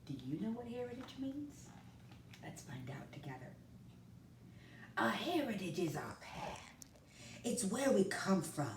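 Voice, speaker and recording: A woman reading a picture book aloud, slow and expressive, sometimes dropping to a hush, in a roomy recording from a distance. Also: mid-range voice, slight background noise. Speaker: storyteller.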